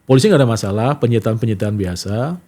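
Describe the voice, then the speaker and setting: A man's voice: lowish voice, fast talker. Middle-aged man telling stories. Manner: animated anecdotes, acts out quoted dialogue. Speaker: interview guest, journalist. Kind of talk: casual conversational storytelling.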